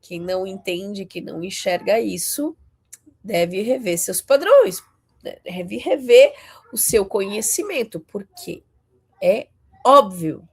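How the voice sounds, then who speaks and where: A husky woman in her forties speaks in an unhurried, conversational way. She keeps checking in with her listeners, tagging her sentences with questions as she teaches fellow Christians, and lands her points with emphatic rises in pitch. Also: animated. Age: 40s.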